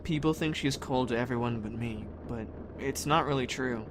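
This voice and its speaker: Over clear vehicle noise comes a man playing a headstrong teenage boy in an amateur audio drama. He talks in a middling male pitch, blunt and informal. When he is earnest, his words come haltingly. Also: natural voice. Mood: subdued, confiding.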